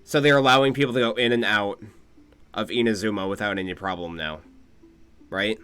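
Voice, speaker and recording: Low-voiced man streaming a game. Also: a little fast, sharp tone, clean tone. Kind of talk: casual live game commentary. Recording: music behind the voice.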